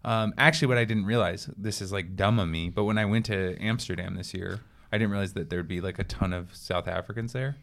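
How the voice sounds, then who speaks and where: A young man with a fairly low voice, hosting a podcast and rambling through stories in a loose, joking way. He often breaks off and restarts his sentences as he goes. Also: fairly quick, vocal fry, few pauses, fairly bright tone. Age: young adult. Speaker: podcast co-host. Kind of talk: casual chat.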